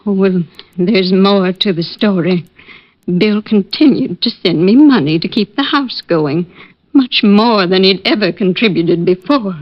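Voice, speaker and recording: A woman's voice: medium pitch, a little slow, natural voice. An actress playing a timid, genteel older widowed mother in a vintage radio drama, speaking politely and hesitantly with plaintive worry. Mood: worried. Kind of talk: 1940s-50s radio drama acting. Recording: dull lo-fi old radio recording.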